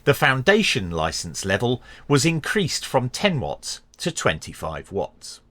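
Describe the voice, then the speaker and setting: A man's voice: medium pitch, huge pitch swings, unhurried, bright, forceful tone, no room sound. A man giving a methodical, explanatory hands-on commentary as he presents a product review. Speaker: radio reviewer presenting a video.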